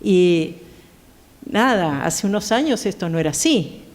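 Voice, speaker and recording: A woman's voice: on the low side, animated, unhurried. A middle-aged woman with an Argentine accent, talking conversationally as a guest on a theatre panel. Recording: reverberant theatre hall.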